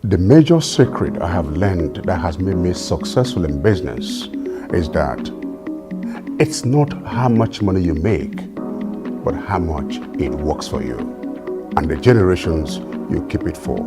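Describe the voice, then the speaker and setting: Deep male ad voice over loud music. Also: big pitch swings, unhurried. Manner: confident, persuasive. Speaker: advert voice-over. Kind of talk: scripted ad read.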